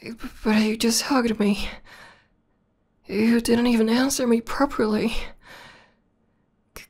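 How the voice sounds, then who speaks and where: Woman acting a slow, tender lovestruck demon girlfriend. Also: a little high, fairly even intonation. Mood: nervous, emotional. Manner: soft, halting, breathy half-whispers with emotional stammers. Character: tender, lovestruck tomboy demoness, millennia old, newly proposing. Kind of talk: ASMR romantic roleplay audio.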